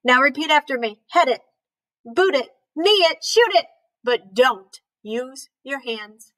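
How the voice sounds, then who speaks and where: Woman voicing high-pitched, urgent frog dad coach. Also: expressive, unhurried, fairly bright tone. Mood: instructing. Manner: calling out and instructing. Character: Froggy's father, urgent assistant soccer coach frog.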